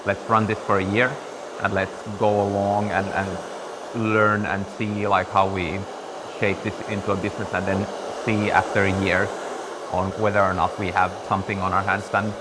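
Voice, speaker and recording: A young man from Finland, chatting casually in a barber shop and pausing to find his words. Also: fairly low pitch, little pitch movement, background noise. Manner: thoughtful, searching for words. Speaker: guest, business partner. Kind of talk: casual conversation. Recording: reverberant room, faint ambience.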